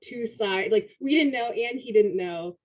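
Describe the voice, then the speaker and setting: A woman's voice: a little high, measured pace, darkish tone. A woman fan on a call, rambling with many fillers as she gives her take. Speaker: fan asking a question. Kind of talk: casual video call Q&A. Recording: tinny phone-like call line.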